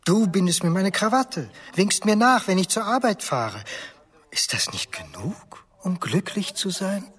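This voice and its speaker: A man's voice: high-pitched, very animated, measured pace, a bit bright, husky, full character voice. An actor playing an earnest, wistful husband who looks back on his marriage, speaking in a reflective, intimate radio drama monologue. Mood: tender, pleading. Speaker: actor and narrator. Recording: studio radio drama under music. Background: prominent music.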